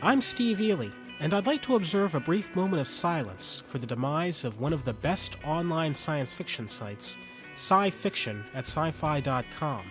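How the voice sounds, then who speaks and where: A man hosting a podcast and reading fiction from a script, earnest and measured, with deliberate phrasing. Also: on the high side, lively intonation, over music. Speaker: podcast host and story reader. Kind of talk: scripted commentary and story narration.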